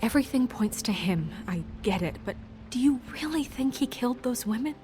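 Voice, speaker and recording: A woman's voice: fairly high pitch, lively intonation, fairly quick, dark tone, soft background music, close to an everyday voice. A woman voicing an earnest, businesslike office administrator in a video game, with naturalistic, hesitant phrasing. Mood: troubled, unsure. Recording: faint score under the voice.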